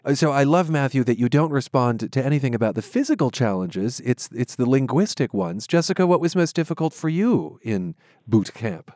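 A male radio host with an American accent and a medium-pitched voice. He reads narration and puts questions to guests, recorded dry with very little room sound. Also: sing-song, fairly quick, hardly any pauses, darkish tone, no room sound. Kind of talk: scripted narration and interview.

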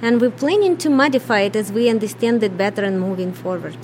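A woman's voice: mid-range voice, fast talker, darkish tone. Woman, Russian accent, middle-aged, matter-of-fact, slight background noise. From Ukraine. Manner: plain, matter-of-fact, non-native grammar. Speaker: business co-owner. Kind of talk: radio interview answers.

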